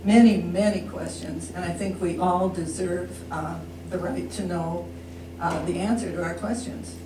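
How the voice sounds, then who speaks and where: A woman's voice: fairly low pitch, steady tone, measured pace. An older woman speaking nervously and hesitantly as a resident at a public comment session, full of questions. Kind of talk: unscripted public remarks. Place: public meeting room. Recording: echoey room, distant mic, noisy.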